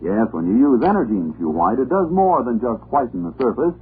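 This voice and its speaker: A man's voice: medium pitch, big pitch swings, brisk. A man reading a scripted 1940s radio advertisement as the network announcer. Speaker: commercial announcer. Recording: tinny old radio recording, faint hum.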